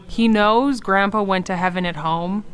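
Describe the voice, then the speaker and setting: A woman's voice: mid-range voice, normal speed, clear, resonant tone, close to an everyday voice. A woman playing a worn-out, dismissive mother in an acted audio horror story, speaking in an exasperated, matter-of-fact way. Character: worn-out, dismissive adult sister, mother of a small boy.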